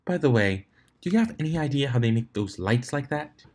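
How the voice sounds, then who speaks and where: A man's voice: mid-range voice, sing-song, brisk, a little mellow. A man voicing a refined, fussy female unicorn fashionista with a theatrical, ladylike manner in a storybook reading. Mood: grudging, curious.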